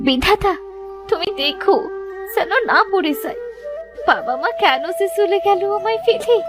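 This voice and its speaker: A woman's voice: extremely high pitch, lively intonation, a little slow, music in the background. A woman voicing a sad, meek orphan village girl in a cartoon, plaintive and pleading. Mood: sorrowful. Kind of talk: melodramatic cartoon voice acting.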